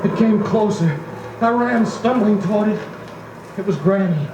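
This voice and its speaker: A man's voice: high voice, moderate pace, very noisy, lightly acted. An actor playing an earnest country man who narrates his boyhood dramatically in a 1940s radio drama. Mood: tense. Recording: roomy 1940s record. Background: music.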